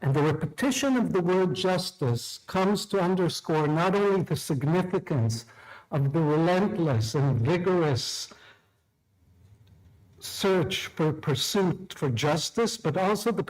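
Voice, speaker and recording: A middle-aged man from Israel, a law professor, delivering a measured, deliberate prepared speech with small restarts. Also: on the high side, lively intonation, darkish tone, low-quality recording. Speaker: law professor opening a tribunal.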